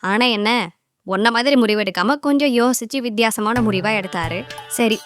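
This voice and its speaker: A woman voicing an impatient, expressive young girlfriend in an animated moral story. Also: medium pitch, moderate pace, faint music behind, close to an everyday voice. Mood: explaining. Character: impatient young woman scolding and consoling her boyfriend.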